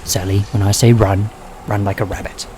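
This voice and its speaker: A man playing a quick-witted, protective time-travelling adventurer in a fan audio drama, wry, chatty and cheekily defiant. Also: on the low side, flat delivery, noisy background, lightly acted. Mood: urgent.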